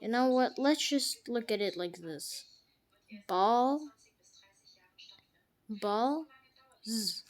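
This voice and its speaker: A woman giving jokey gameplay commentary, trash-talking the game characters and swinging from hushed mutters to sudden loud outbursts. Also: a little high, expressive, drawn-out words, pauses often. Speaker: gamer.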